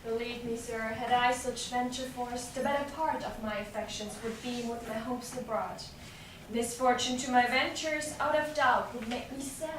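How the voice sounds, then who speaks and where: High-voiced earnest gentleman read by a woman. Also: steady tone, normal speed, natural voice. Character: polite, earnest Venetian gentleman, a sympathetic friend. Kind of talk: staged reading of Shakespearean verse. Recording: very echoey room, distant mic, faint noise.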